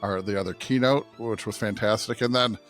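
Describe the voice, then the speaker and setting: A man's voice: lowish voice, brisk, a bit bright, soft background music. A man co-hosting a church podcast in casual, conversational talk. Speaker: podcast co-host and pastor. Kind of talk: casual chat.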